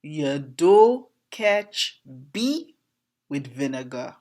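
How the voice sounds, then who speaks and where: A woman with a Trinidadian accent and a slightly hoarse voice, teaching informally in a deliberate, questioning way, like an educator talking to viewers. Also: low voice, sing-song, a little mellow. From Trinidad and Tobago. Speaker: language educator, presenter. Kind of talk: informal explanatory lesson to camera.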